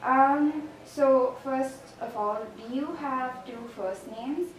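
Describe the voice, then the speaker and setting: A young woman with a fairly high voice asks casual interview questions as a student interviewer. She sounds very echoey and a little far from the microphone. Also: takes it slowly. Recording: very echoey, distant microphone, faint noise.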